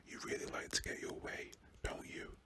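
A man's voice: normal speed, natural voice. An eager, stubborn storybook boy, voiced by a man. He talks in a casual, teasing whisper.

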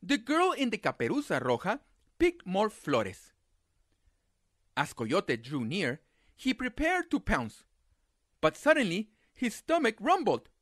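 A man reading a children's picture book aloud as a storyteller, with dramatic, deliberate phrasing. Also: high-pitched, wildly swooping pitch, a bit bright, no room sound, clean studio sound.

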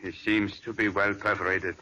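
An actor playing a cold, secretive older surgeon in 1940s radio acting style, commanding and sinister. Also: male voice, on the low side, little pitch movement, moderate pace, pushed and bright, highs cut off. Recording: vintage radio recording with faint hiss.

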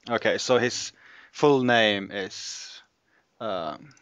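A man's voice: fairly low pitch, drawn-out words, fairly bright tone, clear, resonant tone, no echo. A man chatting casually and hesitantly as he plans a video, on a somewhat dull recording. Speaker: video creator. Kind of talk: casual chat while planning a video.

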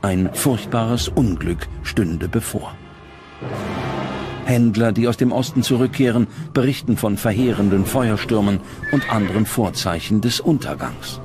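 A man narrating a documentary script in a grave, measured, dramatic way. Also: on the low side. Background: prominent background music.

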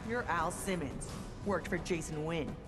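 A woman's voice: sits low, measured pace. An actress playing a tough, no-nonsense female soldier in a video game, firm and blunt. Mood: stern. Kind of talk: video game character dialogue. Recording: loud music behind.

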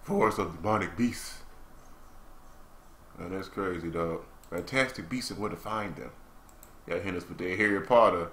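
A young man giving casual, slangy, excitable live reaction commentary on anime as the host. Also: low voice, normal speed, long pauses, slight background noise. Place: small room indoors. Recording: roomy, echoing home recording.

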